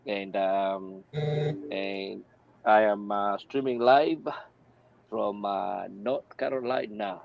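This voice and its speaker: A man from Kenya, a business coach introducing himself enthusiastically on a live stream, pausing often with fillers. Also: on the low side, fairly even intonation, creaky. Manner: enthusiastic, hesitant with many uhs. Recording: dull lo-fi video call audio.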